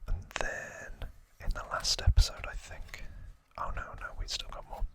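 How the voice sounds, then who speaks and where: An ASMR host whispering a casual recap in a halting way, with asides.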